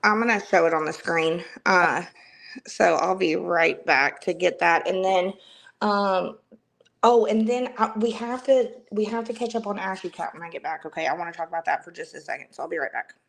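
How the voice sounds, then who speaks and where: A woman's voice: on the low side, moderate pace, gravelly, fairly bright tone. A woman hosting a casual livestream chat, chatty, with lots of fillers and restarts. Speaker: livestream host.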